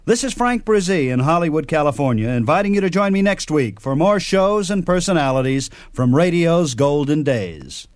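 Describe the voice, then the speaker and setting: A man hosting a radio show of old-time highlights, announcing from a script in a smooth, measured way. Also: expressive. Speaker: radio host. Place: radio studio in Hollywood. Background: music.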